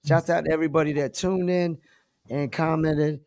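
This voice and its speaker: A man's voice: fairly high pitch, steady tone, normal speed, a little mellow, no room sound, studio quality. A man hosting a podcast who says he is losing his voice, wrapping up the show and passing turns to others. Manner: wraps up the show and hands out turns. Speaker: host.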